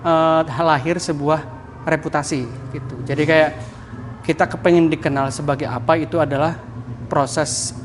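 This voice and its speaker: A man's voice: on the high side, level tone, moderate pace, background noise. A man with a Javanese ngapak accent, a podcast guest explaining ideas in a casual chat, often ending points with "kayak gitu.". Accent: Javanese Banyumas (ngapak). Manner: explains with many "kayak gitu" tags, laughs easily. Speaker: podcast guest, communication mentor.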